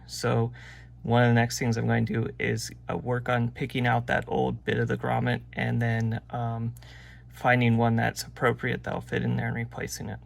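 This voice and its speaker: A man giving a casual, unscripted, step-by-step narration of hands-on repair work, with a gravelly, creaky voice. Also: medium pitch, fairly bright tone. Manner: plain-spoken, unscripted step-by-step explaining. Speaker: radio restorer presenting a repair vlog.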